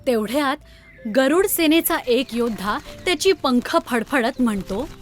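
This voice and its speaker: A female storyteller narrates a children's fairy tale from a script. Her voice is high-pitched, and music plays behind the narration. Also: animated, measured pace. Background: background music.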